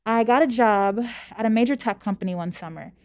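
Animated young woman from Louisiana. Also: a little high, fairly quick, clear, resonant tone. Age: 20s. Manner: animated, emphatic rhetorical questions. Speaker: college student. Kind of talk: casual interview, personal story.

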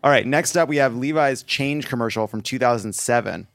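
A man presenting commercials on a live comedy stage, animated and laughing with quick asides. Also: mid-range voice, creaky, no room sound. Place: theater stage before an audience.

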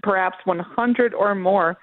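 A dry, band-limited phone line carries a woman's voice at a medium pitch. She is a reporter giving live interview answers, explaining things and often restarting her sentences. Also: unhurried, no room sound. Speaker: political reporter interviewed as guest.

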